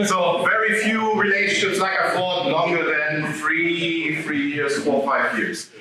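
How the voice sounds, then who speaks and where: A man giving an animated live talk to an audience, turning to them with questions. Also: fairly high pitch, measured pace, background noise. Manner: animated, addresses the audience directly. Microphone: no microphone, picked up from afar. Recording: echoey room, voice from a distance.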